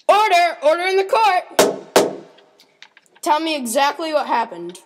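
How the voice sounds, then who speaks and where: A woman's voice: high voice, expressive, a little slow, very noisy, voice pushed up. A woman playing a stern, commanding courtroom judge in an amateur radio mystery.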